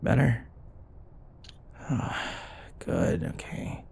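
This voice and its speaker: A man's voice: drawn-out words, darkish tone, a little hiss or hum. A man acting a grumpy, sarcastic office rival who grudgingly cares, in an intimate, blunt, conversational roleplay style. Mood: soft, concerned. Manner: exasperated, blunt, conversational, sighing.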